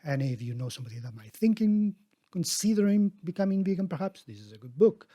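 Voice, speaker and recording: A middle-aged man reading his own book aloud as its author, expressively, with emphatic, deliberate phrasing. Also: sits high, big pitch swings, warm tone, dry recording.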